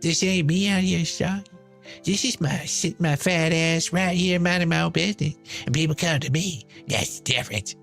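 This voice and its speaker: A man's voice: fairly high pitch, moderate pace, creaky, a bit bright, soft background music. A man voicing a brash, smug, chain-smoking woman in a dramatized monologue, sneering, profane and boastful. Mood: smug, defiant.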